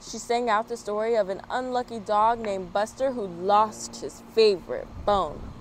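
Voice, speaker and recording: A woman's voice: medium pitch, normal speed, low-quality recording. An American woman hosting a children's story time, reading and chatting expressively outdoors. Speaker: story time host and children's book reader. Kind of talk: children's read-aloud with friendly chat. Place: outdoors in a yard. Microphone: small external microphone. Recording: outdoor street-work and traffic noise. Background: street work, traffic, outdoor ambience.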